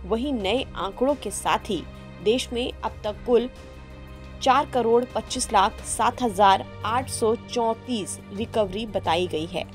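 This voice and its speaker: A woman's voice: on the high side, slow pace. A woman reading a scripted news bulletin in a formal manner. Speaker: news presenter. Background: background music.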